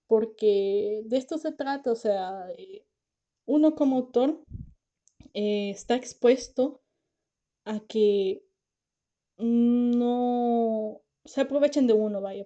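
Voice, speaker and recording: Tired woman with a soft R, lo-fi. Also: fairly high pitch. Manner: earnest, reassuring, speaks directly to listeners; says she can't pronounce the R well. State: tired, finding it hard to talk. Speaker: podcast host, lawyer and author. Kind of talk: casual explanatory podcast talk.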